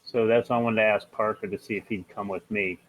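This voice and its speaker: Male tabletop player, lowish voice, informal conversational delivery. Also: steady tone, fast talker, poor audio. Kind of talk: casual table chat.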